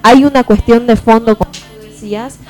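A woman's voice: a little high, fairly even intonation, normal speed, faint music behind. A woman panelist in a live radio discussion, speaking hesitantly with frequent tag questions. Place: radio studio.

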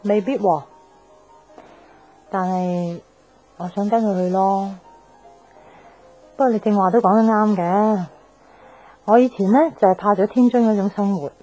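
A woman's voice: medium pitch, steady tone, measured pace, long pauses, dark tone, natural voice, low-quality recording. An actress playing a restless young woman in a radio drama, talking in an insistent, explaining way. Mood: wistful, insistent. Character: restless young woman friend craving a new life. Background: faint background music.

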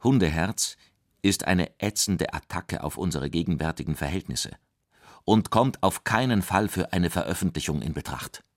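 An actor playing a stern Soviet Politburo official delivering a condemning judgement in a severe, official manner. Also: male voice, low voice, animated, moderate pace, dry recording, clean studio sound. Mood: stern condemnation. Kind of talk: acted quotation in a radio documentary.

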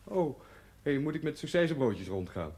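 A man's voice: fairly high pitch, big pitch swings, moderate pace, darkish tone, heavily acted. A man acting a plain-spoken, cheeky job seeker in a seventies television drama, informal and a little hesitant. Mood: surprised, hesitant. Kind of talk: naturalistic 1970s TV drama acting. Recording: clearly noisy TV soundtrack.

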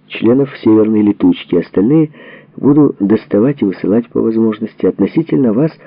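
A man reading a historical book as a narrator, in a measured, formal delivery, working through letters and documents. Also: on the low side, no echo, narrow, tinny audio. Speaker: audiobook narrator.

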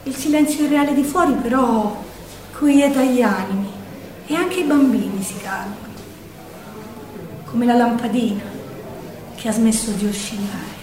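An actress plays a shaken young woman partisan in a wartime theatre monologue, heard in a roomy, echoing space a little way from the microphone. Her high voice has a Roman accent and moves between hushed and urgent, with weighted pauses. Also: crowd noise, natural voice. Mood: dazed, grave. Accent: Roman Italian. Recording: roomy echo, distant microphone.

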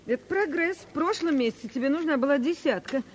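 An actress playing an energetic, optimistic working mother who teases her old classmate. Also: high-pitched. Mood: teasing. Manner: lively, teasing, quick.

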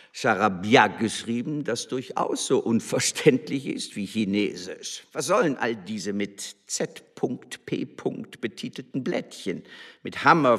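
An actor playing an arrogant, scornful embassy official in a German radio drama, haughty and lecturing. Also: male voice, fairly low pitch, fairly bright tone. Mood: sneering. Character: arrogant, scornful male embassy official berating his spy.

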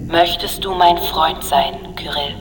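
A woman playing a gentle, insistent artificial intelligence in a radio drama, soft, measured and polite, in a reverberant recording. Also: deep voice. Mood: calm, insistent. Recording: reverberant hall, faint background noise.

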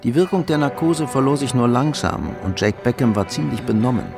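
Cool crime narrator, gravelly, dry storytelling, radio play, music bed. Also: male voice, mid-range voice, lively intonation, a little fast. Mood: matter-of-fact.

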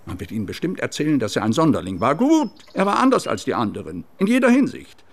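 A man acting a haughty, protective older gentleman in a radio drama, formal and theatrical. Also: medium pitch, huge pitch swings, quick pace. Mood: stern, indignant. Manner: formal, theatrical, swooping emphasis.

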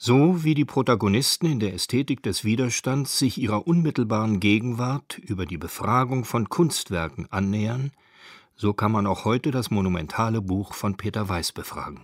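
Low-voiced man narrating, dry studio sound, music behind. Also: expressive, moderate pace, no echo. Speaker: narrator of a radio feature. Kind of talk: scripted literary documentary narration.

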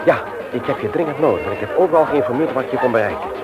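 An actor playing a firm, insistent older brother in a Dutch radio drama, probing with authority. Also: male voice, mid-range voice, animated, racing, dull audio, lightly acted. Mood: pressing, firm. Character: firm, persistent older brother investigating a planned burglary.

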